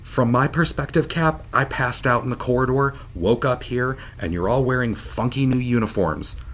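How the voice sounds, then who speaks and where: A man's voice: mid-range voice, normal speed, fairly bright tone, slight background noise, close to an everyday voice. A man acting in an audio drama as a jovial, bewildered starship pilot just woken from a long sleep, informal and earnest. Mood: wry, upbeat. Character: jovial, bewildered starship pilot waking after seventeen years asleep.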